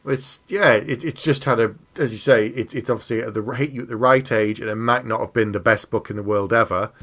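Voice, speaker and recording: A man's voice: mid-range voice, brisk, fairly bright tone. A man hosting a casual interview podcast in an agreeable, affirming, conversational way.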